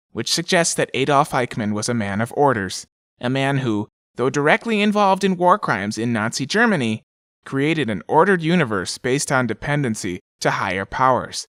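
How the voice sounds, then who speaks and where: A man's voice: medium pitch, fairly bright tone, dry recording, studio quality. A man narrating an audio drama, reading letters and documents in a scripted style.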